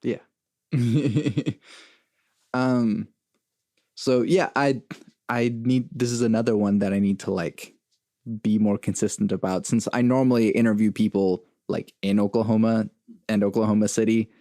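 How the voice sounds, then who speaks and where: A man's voice: lowish voice, fairly even intonation, a little slow, dark tone, no echo, clean studio sound. A man from Venezuela who moved to the US as a child, hosting a casual interview with loosely phrased, informal questions. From born in Venezuela, moved to the US at six. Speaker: podcast host, interviewer.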